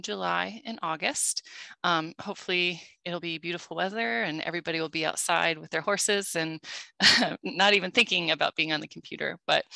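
A woman's voice: fairly low pitch, creaky, pushed and bright, no echo, poor audio. A woman coordinator opening an online seminar casually, with frequent ums. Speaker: program coordinator and host. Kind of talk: seminar introduction.

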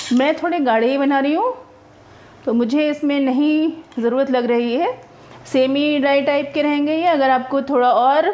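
High-voiced woman narrating her cooking. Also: darkish tone, lo-fi sound. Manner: chatty, homely, explains each step. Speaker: cooking vlogger. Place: home kitchen.

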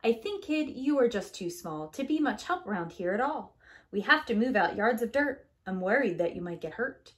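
Woman as a condescending big truck, animated delivery. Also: a little high, moderate pace. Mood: doubtful, dismissive. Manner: patronising, explaining. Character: big, doubtful, condescending grown-up construction truck.

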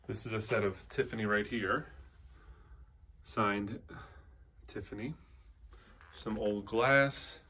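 A man gives an unscripted walkthrough as a presenter, pointing things out in short, halting phrases as he moves around indoors. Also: fairly low pitch, sharp tone, breathy edge. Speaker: auction house presenter. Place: indoor antiques showroom, walking around.

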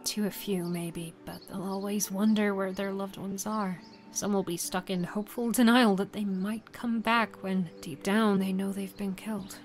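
A woman playing an earnest, careful friend who gently persuades and pleads in an audio drama. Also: medium pitch, steady tone, moderate pace, soft background music, natural voice. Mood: grave, careful.

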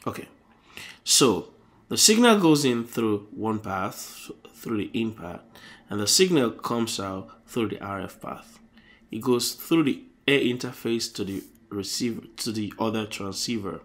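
A man hosting an electronics tutorial, explaining circuit diagrams on paper in a halting, self-correcting way. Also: fairly low pitch, expressive.

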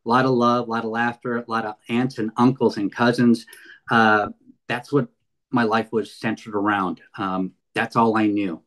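A man's voice: fairly low pitch, flat delivery, normal speed, fairly bright tone, poor audio. A man in his fifties from California's San Fernando Valley, giving a heartfelt webinar talk over a video call with many fillers and restarts. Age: 50s. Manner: earnest, frequent fillers and restarts. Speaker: guest speaker. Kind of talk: webinar presentation.

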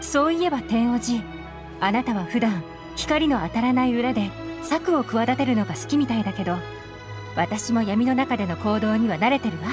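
A woman's voice: fairly high pitch, lively intonation, normal speed, over music, lightly acted. An actress playing a young, enigmatic female covert agent, confident and playfully composed, in an anime-style video game. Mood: self-assured. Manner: playful, self-assured, composed.

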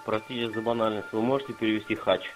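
A man's voice: on the low side, little pitch movement, fast, music in the background, poor audio. A man on a voice chat politely asking short questions. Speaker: chat participant. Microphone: walkie-talkie voice chat app.

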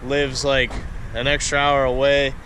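A man's voice: medium pitch, little pitch movement, a little slow, fairly bright tone, a little hiss or hum. A man in his twenties chatting casually to his viewers like a fishing vlogger, informal and teasing. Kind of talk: casual vlog chat to viewers. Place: car and open concrete fishing pier. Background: music.

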